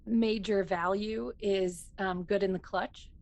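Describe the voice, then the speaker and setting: A woman's voice: mid-range voice, steady tone, slow pace, gravelly, a little mellow. Casual American woman chatting as a player. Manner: informal, conversational. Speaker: player and show crew member. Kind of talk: casual chat.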